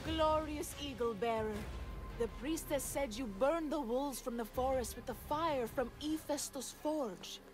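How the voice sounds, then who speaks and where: An actress playing a devout, timid young shepherdess in a video game, halting and breathlessly reverent. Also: female voice, high voice, over music. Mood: adoring, timid. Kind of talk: video game cutscene acting.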